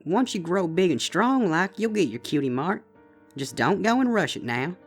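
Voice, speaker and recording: A warm-hearted but firm Southern farm mare, as a man puts her on, with a folksy drawl and a voice that swings up and down. Faint music plays underneath. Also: sits high, lively intonation, moderate pace, pitched above the performer's own voice. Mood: coaxing. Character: kind but stern young farm mare, big sister.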